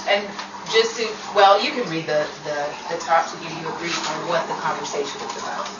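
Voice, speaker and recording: A woman's voice: sits low, a little fast, far from the mic, dull audio. Woman cueing performers through heavy noise. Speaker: performer running a recorded rehearsal. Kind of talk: casual rehearsal chat and cueing. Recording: very noisy, echoey, off-mic room recording.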